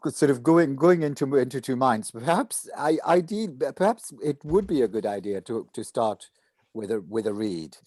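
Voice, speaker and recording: A man's voice: mid-range voice, animated, moderate pace, darkish tone, dry recording. Man thinking aloud, dry sound. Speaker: voice actor at a table read. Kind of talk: casual planning discussion.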